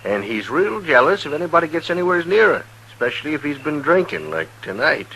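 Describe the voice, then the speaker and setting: An excitable frontier saloon man in a vintage radio western. The voice is very bright and fairly high, rustic and urgent, rising and falling widely over faint recording noise. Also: fast talker, creaky, slightly rough, heavily acted, rough recording. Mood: confiding. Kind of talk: 1950s radio western acting.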